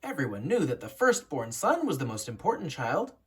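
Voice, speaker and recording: A woman reading a children's picture book aloud as a teacher, telling the story dramatically with deliberate, emphatic pauses. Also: low voice, very animated, husky. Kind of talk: expressive children's storybook narration.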